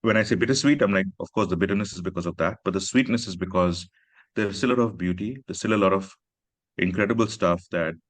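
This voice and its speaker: A man's voice: sits low, fast talker, no room sound. A man from Pakistan, an author, speaking earnestly and reflectively at a festival chat, often adding "you know" and "right". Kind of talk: conversational literary talk. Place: literary festival stage.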